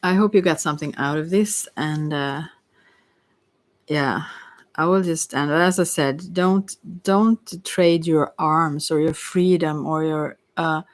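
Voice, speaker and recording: Low-voiced woman giving a soft tarot reading. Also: fairly even intonation, slow pace, no echo. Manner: soft-spoken, rambling, hesitant, giggly asides. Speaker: tarot reader and ASMR host. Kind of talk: casual ASMR tarot reading.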